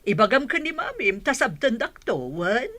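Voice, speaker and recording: A woman's voice: on the high side, big pitch swings, moderate pace, sharp tone, exaggerated voice. A woman playing an elderly village grandmother on the phone in an Ilocano radio drama. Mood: plain, affectionate. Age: older.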